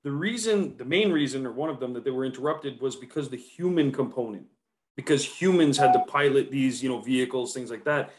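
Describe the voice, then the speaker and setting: A man's voice: mid-range voice, a little fast, a little mellow. A man co-hosting a podcast in casual chat, agreeing and then explaining his point in an engaged way. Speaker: podcast co-host.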